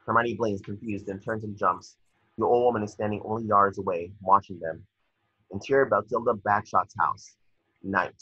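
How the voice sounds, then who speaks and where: Guy narrating a script at a table read, raspy but sharp voice, muffled call audio. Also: lowish voice, fairly even intonation, moderate pace, dry recording. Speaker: stage directions narrator. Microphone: video call. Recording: dull lo-fi video call audio.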